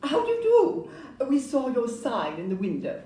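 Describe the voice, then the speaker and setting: Polite, eager young Victorian lady house agent for an actress, highish animated voice, stage audio play. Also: normal speed. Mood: polite, businesslike. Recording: echoey room, distant mic.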